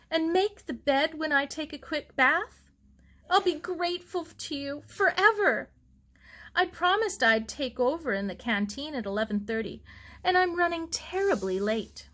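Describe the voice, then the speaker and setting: A woman reading a children's novel aloud, voicing an excited, affectionate young bride-to-be in a gushing, dramatic way. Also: sits high, unhurried, voice pushed up. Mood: frantic, pleading. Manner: gushing, effusive.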